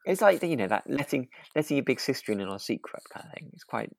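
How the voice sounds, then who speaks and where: A podcast co-host, talking thoughtfully and tentatively in relaxed discussion, with hesitant, circling phrasing. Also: medium pitch, quick pace, gravelly, no room sound. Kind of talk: relaxed discussion of a radio drama.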